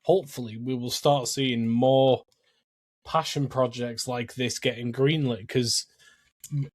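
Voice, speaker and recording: A man's voice: mid-range voice, steady tone. A man giving his opinions in a casual podcast chat, often repeating the start of a phrase as he goes. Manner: halting, repeats openings of phrases. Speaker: podcast guest.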